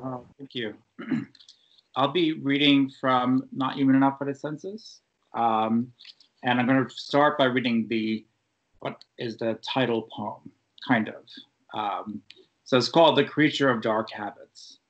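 A man reading his own poetry over a video call with deliberate, drawn-out phrasing. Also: mid-range voice, fairly even intonation. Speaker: poet reading own work. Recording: dull, lo-fi video call audio.

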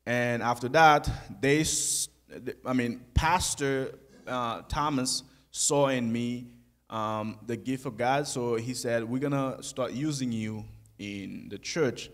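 A young man telling his life story in a church sanctuary, speaking softly into a handheld mic with pauses and easy laughs. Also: mid-range voice. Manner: soft-spoken, halting, laughs easily. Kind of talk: conversational testimony.

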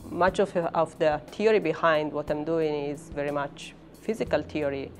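A woman's voice: low voice, steady tone, normal speed, darkish tone, soft background music. A woman in her thirties with an Italian accent, a professor speaking hesitantly in an interview about her work. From Italy. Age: 30s. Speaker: professor and research scientist.

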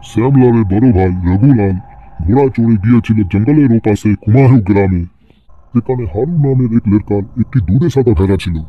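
A man voicing an old male servant, gravely telling an eerie tale in a cartoon horror story. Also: mid-range voice, lo-fi sound, natural voice. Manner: grave, storytelling, Hindi-mixed Bengali wording.

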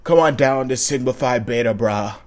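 A man playing a loud, excitable young frat bro who draws out slangy words. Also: medium pitch, lightly acted. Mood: excited. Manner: laid-back slangy drawn-out words.

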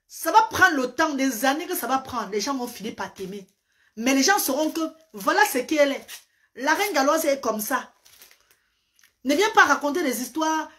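A woman's voice: high-pitched, a bit bright. A woman, a Medumba speaker, giving a fervent, preacherly live-stream address full of emphatic repetitions. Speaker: live-stream host and parent advocate. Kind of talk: impassioned social-media live address. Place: small indoor room, seated on a sofa. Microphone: phone camera at close range.

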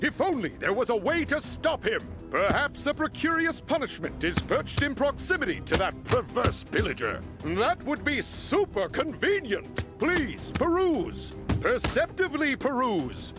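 A man's voice: extremely high pitch, sing-song, a little slow, music in the background, heavily acted. A man playing a verbose psychic superhero mentor in a cartoon game, over-the-top, cheesy and adoring. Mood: bombastic enthusiasm.

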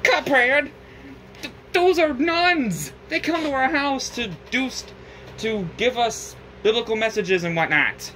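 A man's voice: very high voice, unhurried, pushed and bright, a little hiss or hum, voice pushed up, exaggerated voice. A man voicing a stern old kettle grandfather who scolds in a raised, exasperated voice. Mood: scolding.

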